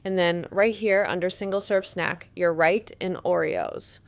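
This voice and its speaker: Audio drama role: helpful female storekeeper played by a woman, slightly low pitch, orderly explanatory manner. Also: steady tone, measured pace, dry recording. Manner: matter-of-fact, explanatory. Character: helpful, orderly female pantry keeper in survivor settlement.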